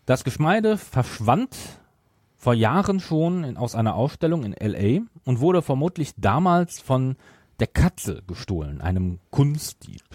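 A man's voice: medium pitch, big pitch swings, measured pace, a little mellow, no echo, studio quality. A man hosting a podcast, retelling a story from notes and at times searching for words. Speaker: podcast host.

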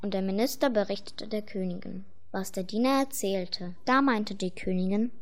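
A woman's voice: medium pitch, normal speed. A woman narrating a scripted fairy tale as the storyteller of a children's radio play.

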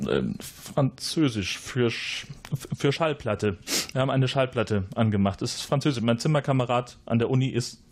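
A man's voice: mid-range voice, animated, moderate pace. A man playing an evasive male suspect in a role-playing game, bluffing earnestly and repeating simple excuses.

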